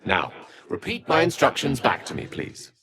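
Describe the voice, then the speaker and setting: A man's voice: fairly high pitch, wildly swooping pitch, moderate pace, crowd noise. A man playing an eloquent, bookish video game wizard, wry, proud and courteous, with an articulate, theatrical and refined delivery. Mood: earnest. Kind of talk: video game character acting.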